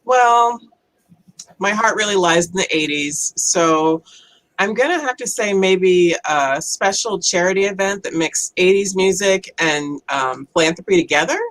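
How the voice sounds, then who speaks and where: A woman pitching casually as a game contestant, with a somewhat halting, hesitant delivery. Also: a bit bright, rough recording.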